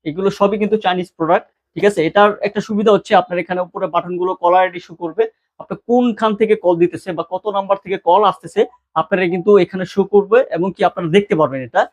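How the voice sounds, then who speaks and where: A man's voice: high voice, no echo, dull audio. A Bangladeshi man presenting products informally like a shop seller, repeating key words and prices.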